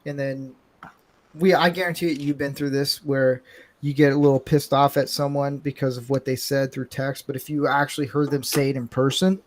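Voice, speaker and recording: A man's voice: medium pitch, flat delivery, moderate pace. A man hosting a casual live podcast, talking bluntly and rambling with self-mocking humor. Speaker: podcast host. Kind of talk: casual live-stream chat.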